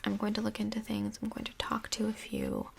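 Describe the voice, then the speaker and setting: A woman playing a kindly, tactful couples' counselor in a soft-spoken ASMR role play, whispery, breathy and gently reassuring, with some hesitation. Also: mid-range voice, monotone, a little fast, a little hiss or hum. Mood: attentive, patient.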